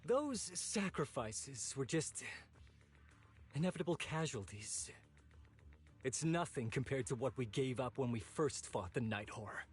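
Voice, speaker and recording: An actor playing an ambitious, ruthless zealot in a video game, husky-voiced, bitter and taunting in a theatrical way. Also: male voice, medium pitch, expressive, measured pace, soft background music, natural voice. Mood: dismissive, smug. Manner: theatrical, taunting, sneering villain delivery. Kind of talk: dramatic video game voice acting.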